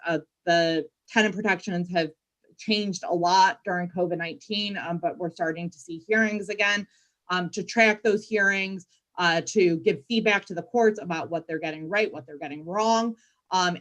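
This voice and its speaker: Female panelist, community organizer. Lowish pitch, earnest, halting delivery, explanatory. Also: a bit bright.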